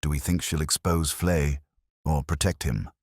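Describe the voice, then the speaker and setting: A man voicing an earnest male police detective, a subordinate, with a hushed, questioning manner in an audiobook. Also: very deep voice, steady tone, normal speed, dry recording, clean studio sound. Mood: earnest, intent.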